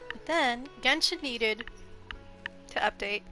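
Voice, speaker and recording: A woman's voice: on the high side, animated, slow, bright, forceful tone. Congested, giggly young woman streamer. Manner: rambling, giggly, lots of ums. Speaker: game streamer. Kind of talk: casual gameplay live stream chat. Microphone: headset. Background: faint game music.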